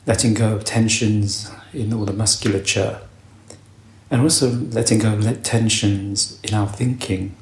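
A man leading a guided meditation with calm, gentle, unhurried instructions and long pauses between short phrases. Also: lowish voice. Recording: roomy echo, faint background noise.

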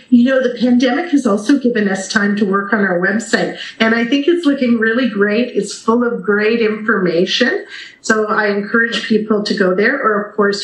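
A Canadian woman speaking enthusiastically and conversationally as a guest in a radio interview. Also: mid-range voice. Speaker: interview guest, theatre company representative. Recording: roomy, echoing sound.

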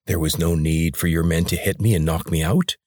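A man voicing a scheming male temple priest who speaks in a calm, measured way. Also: deep voice, no room sound, clean studio sound. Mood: indignant.

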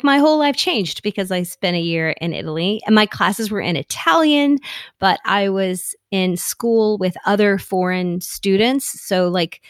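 A woman's voice: medium pitch, no room sound, studio quality. A middle-aged woman co-hosting a podcast, chatty and giggly, rambling through a personal story. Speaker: podcast co-host. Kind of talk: casual conversational chat.

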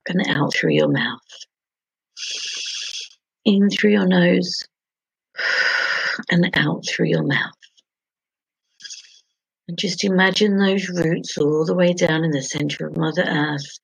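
A woman leading a guided sleep meditation in a soothing, gentle, hushed manner, pausing between short phrases. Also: on the low side, gravelly, breathy edge, no echo, poor audio. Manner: soothing, hushed, gentle instruction with long pauses.

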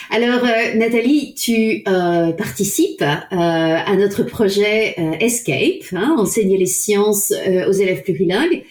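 Woman interviewer, explanatory, mid pitch, roomy echo. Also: a little mellow. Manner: explanatory, asks questions. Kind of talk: interview questions. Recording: echoey room.

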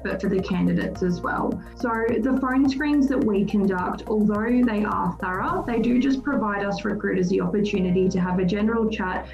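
A woman's voice: mid-range voice, creaky, darkish tone. Dull, roomy recording of a woman recruiter. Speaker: recruiter giving advice on a podcast. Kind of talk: prepared explanatory podcast talk. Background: music.

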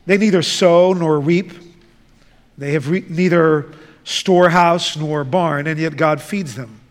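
A man who grew up in the Netherlands, preaching a sermon and reading scripture in a slow, deliberate way. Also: on the high side. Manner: slow, deliberate, measured delivery. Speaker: guest preacher. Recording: reverberant church hall.

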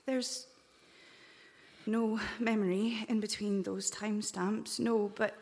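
A woman's voice: medium pitch, fairly even intonation, slow, darkish tone. A woman playing a practical, kindly crewmate in a live audio drama, with a careful, slightly hesitant way of talking. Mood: gently consoling. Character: practical, kindly female crewmate logging recordings. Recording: echoey live hall, reverberant.

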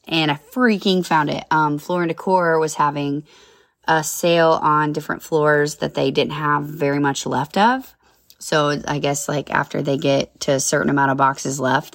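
A woman's voice: deep voice, no room sound. A woman in her thirties hosting a casual solo podcast, chatty and upbeat with lots of asides, sounding a little nasal and congested. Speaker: podcast host.

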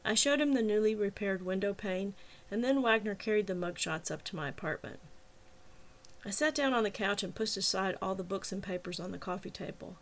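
A woman's voice: mid-range voice, fairly quick. A woman reading scripted first-person fiction as the author and narrator of an audiobook podcast.

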